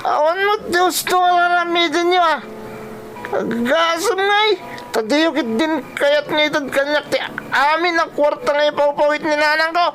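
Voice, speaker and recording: An actress playing a defiant, sulky young grandchild in a radio drama, whining and talking back in a Filipino accent. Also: female voice, high-pitched, moderate pace, over music, lightly acted, poor audio.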